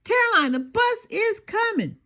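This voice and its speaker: A woman voicing a brisk mother in a children's storybook, calling out urgently to her daughter. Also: very high voice, very animated, bright, forceful tone, pitched above the performer's own voice, full character voice.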